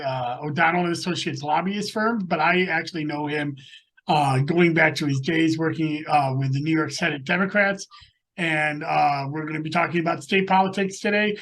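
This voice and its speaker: A man hosting a casual interview over a video call, talking haltingly with many filler sounds. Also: a little high, a bit bright. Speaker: podcast host and elections commissioner.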